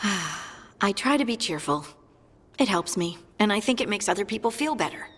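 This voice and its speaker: A woman voicing an earnest, troubled young heroine with a husky voice in a video game. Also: medium pitch, expressive, normal speed, fairly bright tone. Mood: downcast, unsure. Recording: long reverb, faint music.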